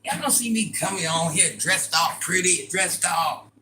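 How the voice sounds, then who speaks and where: A middle-aged woman with a deep voice, chatting casually and bluntly as she answers viewer comments in a livestream. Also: normal speed, very noisy. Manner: blunt, sassy, talks straight to commenters.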